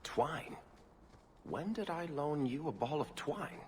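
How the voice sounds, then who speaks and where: A man's voice: fairly high pitch, animated, takes it slowly, in a large hall, a little hiss or hum. A man playing a roguish, easygoing middle-aged dwarf in a fantasy video game, with a wry, casual delivery. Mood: fond, wry.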